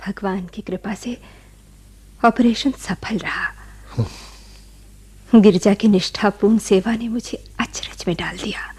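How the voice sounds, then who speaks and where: An actress playing a grieving widow who recounts her tragic past in a radio play, slow and sorrowful. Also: mid-range voice, a little mellow, heavily acted. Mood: solemn recollection. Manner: slow, sorrowful, breathy delivery. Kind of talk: dramatic radio play narration.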